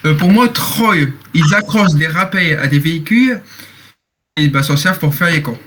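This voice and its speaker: Young man, eighteen, slightly high voice, halting delivery, game moderator, faint noise on voice chat. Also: expressive, fairly bright tone. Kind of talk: roleplay moderation in online voice chat.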